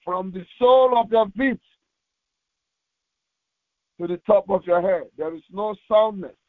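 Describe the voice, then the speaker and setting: A thin, phone-like recording with very little room sound carries a man's high-set voice. He is a pastor delivering a devotional and reading scripture solemnly. His phrases are short and deliberate, with a halting delivery. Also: no echo. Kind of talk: devotional sermon with slow scripture reading aloud. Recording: tinny, phone-like narrowband audio.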